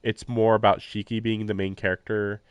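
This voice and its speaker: A man's voice: lowish voice, little pitch movement, normal speed, smooth, no room sound. A man live-streaming a visual novel, reading the text aloud and adding casual, wry, offhand comments. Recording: faint game music behind the voice. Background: game soundtrack.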